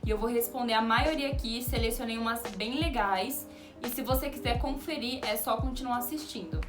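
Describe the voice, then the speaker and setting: A woman's voice: fairly high pitch, brisk. Chatty young woman in a roomy space. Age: 20s. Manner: chatty, answers viewer questions aloud. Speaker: youtuber. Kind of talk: casual Q&A vlog. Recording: roomy echo, distant mic, music behind. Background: background music.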